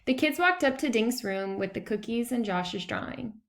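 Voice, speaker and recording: A woman reading a children's chapter book aloud in an expressive storytelling style. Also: a little high, normal speed. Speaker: read-aloud narrator.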